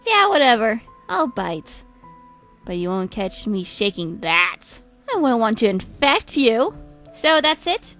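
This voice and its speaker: A woman voicing a crude, grumpy male twin with a soft side in an amateur comic dub, sarcastic and hammy. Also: a little high, very animated, takes it slowly, faint music behind, heavily acted. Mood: sulky, mocking. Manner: sarcastic, blunt, sweary, hammy outbursts.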